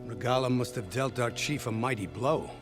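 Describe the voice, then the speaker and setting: An actor playing a proud, stubborn clan commander in a dramatic video game, declaiming in a haughty, deliberate way. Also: male voice, medium pitch, music in the background, natural voice.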